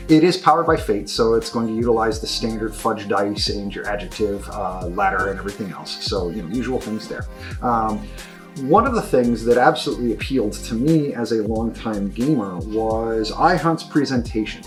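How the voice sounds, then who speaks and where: A man's voice: on the low side, moderate pace, faint music behind. A man giving an enthusiastic, unscripted product review as a game shop presenter, effusive and emphatic, often repeating words for stress.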